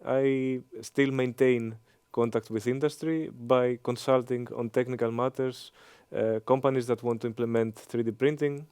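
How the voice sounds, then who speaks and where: A man in his thirties with a Greek accent, answering interview questions with many hesitant pauses and fillers. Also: medium pitch, darkish tone, smooth, no room sound. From Greece. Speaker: interview guest, engineering professor.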